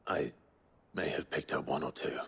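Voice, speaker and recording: A man playing a brooding, earnest fantasy hero in a video game, with a gruff, husky voice and an understated delivery. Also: a bass voice, moderate pace, a bit bright, full character voice. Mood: quiet, dry. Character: brooding young male hero, earnest and restrained. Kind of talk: dramatic video game acting. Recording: music behind the voice.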